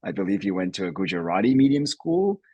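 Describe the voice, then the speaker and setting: A man hosting an interview podcast, speaking in a halting way with frequent restarts. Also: sits low, sing-song, warm tone, slightly rough, no room sound. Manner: halting, restarts words often. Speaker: podcast host, company CEO.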